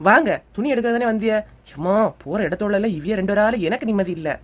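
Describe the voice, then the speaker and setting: A woman voicing a bold, practical younger woman in a cartoon comedy, brisk and persuasive, with a Kanyakumari Tamil accent. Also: medium pitch, lively intonation, lightly acted. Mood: exasperated.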